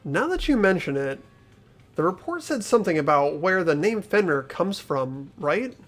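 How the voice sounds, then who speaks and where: Earnest teenage protagonist, male actor: uneasy, highish voice, lively inflection, music bed underneath. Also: moderate pace, full character voice. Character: earnest, anxious everyman high school boy. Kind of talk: fan-dubbed visual novel acting and narration.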